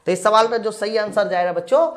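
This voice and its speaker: A woman's voice: mid-range voice, fast talker. A woman teaching an exam-prep class, posing questions, answering them herself and repeating key points. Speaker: science teacher. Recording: echoey room sound.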